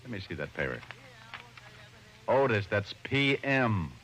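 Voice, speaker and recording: An actor playing a suave, wisecracking American private detective, glib and teasing, in 1940s radio style. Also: male voice, on the low side, sing-song, a little slow, fairly bright tone. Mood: dryly teasing. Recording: noisy old-time radio recording.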